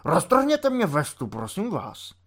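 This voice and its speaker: A man's voice: high voice, huge pitch swings, fairly bright tone, voice pushed up, full character voice. A man reading an audiobook voices a drunk, maudlin middle-aged army chaplain who rambles, whines and pleads. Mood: pleading. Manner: slurred, whining, rambling drunk speech. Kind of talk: audiobook character voice.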